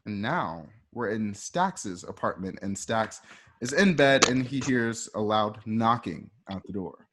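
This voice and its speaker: A man's voice: on the low side, lively intonation, measured pace, fairly bright tone, slight background noise. A man with a conversational, colloquial manner, narrating the action of a radio play in a casual style.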